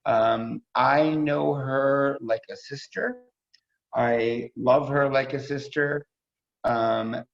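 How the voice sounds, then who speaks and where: A man's voice: mid-range voice, gravelly. A middle-aged man casually introducing performers as host of a staged reading over a video call, effusive and repeating himself for emphasis. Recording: dull video call audio.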